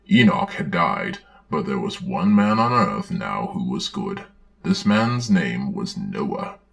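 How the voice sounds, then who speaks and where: A man with a very deep voice reads stories aloud theatrically and adds dry, sarcastic commentary. His delivery swings widely in pitch and often drops into a gravelly creak. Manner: theatrical, wry, sardonic. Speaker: host, storyteller. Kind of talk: dramatic reading with sarcastic commentary.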